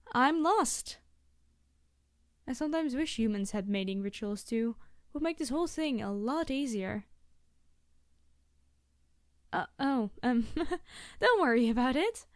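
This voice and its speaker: Woman acting a high-voiced, awkward, chatty young biologist. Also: clear, resonant tone, dry recording, studio quality, natural voice. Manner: gushing, rambling, hesitant and flustered. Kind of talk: roleplay audio addressed to the listener.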